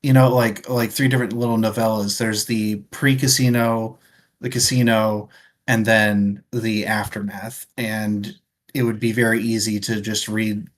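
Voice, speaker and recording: A man's voice: lowish voice, flat delivery, a little slow. A man co-hosting a book club podcast, talking casually and conversationally as he sums up his thoughts.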